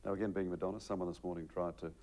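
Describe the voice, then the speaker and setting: A man's voice: low-pitched, steady tone, racing, warm tone, slight background noise. A middle-aged man with an Australian accent asking questions conversationally as a television interviewer. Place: living room in a house.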